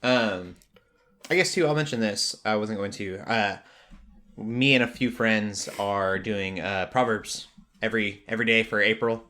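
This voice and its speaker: Male podcast host, lowish voice, casual and low-key, a little hesitant. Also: a little slow, sharp tone. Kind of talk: casual chat.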